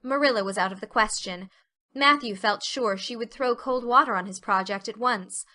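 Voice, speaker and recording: A woman's voice: on the high side, normal speed, pushed and bright. A woman narrating an audiobook story as a scripted dramatic reading.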